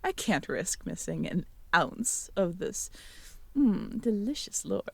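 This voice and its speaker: Young woman, ASMR roleplay girlfriend, unhurried delivery, dry close mic, playful, gentle. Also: mid-range voice, creaky, husky, no room sound. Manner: playful, teasing, excitable and caring. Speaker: audio roleplay performer. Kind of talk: ASMR audio roleplay, speaking to the listener as a girlfriend.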